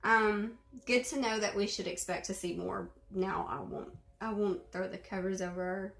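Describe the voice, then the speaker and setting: A young woman from Arkansas chatting casually to camera at home, with lots of fillers and throat clearing. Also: medium pitch, fairly even intonation, moderate pace, fairly bright tone. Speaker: video host responding to a reading. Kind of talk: casual chat. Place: bedroom at home. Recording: roomy echo.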